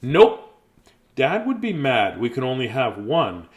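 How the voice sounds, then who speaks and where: A man's voice: medium pitch, wildly swooping pitch, slow, dull audio. A man reading a children's bedtime story aloud expressively, with playful, theatrical delivery and chatty, casual asides.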